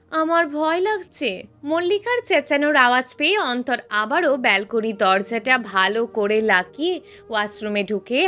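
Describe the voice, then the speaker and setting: A woman's voice: fairly high pitch, a bit bright. A woman reading a scripted story aloud as a dramatic narration. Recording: music behind the voice. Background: background music.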